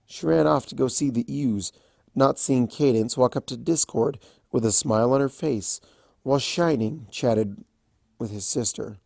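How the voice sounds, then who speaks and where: A man reading a fan story aloud as an expressive storybook narrator. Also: mid-range voice, unhurried, creaky, dry recording, studio quality. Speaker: fan fiction reader.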